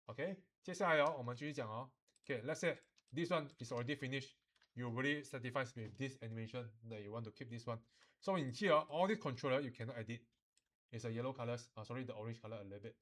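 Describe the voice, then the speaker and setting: A male instructor with a mid-pitched voice walks students through animation software. He talks informally, moves between two languages and repeats his explanations, pausing to check they understand. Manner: switches between Mandarin and English, repeating explanations. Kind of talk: live software tutorial, explaining step by step to students.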